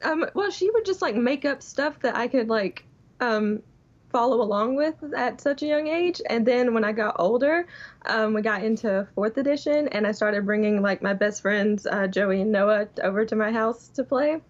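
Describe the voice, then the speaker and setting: Female guest, late teens, higher-pitched, giggly casual storyteller, somewhat muffled call audio. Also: normal speed. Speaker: podcast guest. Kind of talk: casual anecdotal chat. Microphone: remote call. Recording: dull lo-fi remote call.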